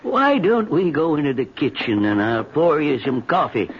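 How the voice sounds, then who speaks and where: A man's voice: on the high side, very animated, a little hiss or hum. An actor playing a folksy, skeptical mailman in a 1970s radio drama, patient and hesitant as he humors someone. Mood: skeptical, soothing. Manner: hesitant, humoring, drawn-out hums and pauses. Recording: dull 1970s radio recording.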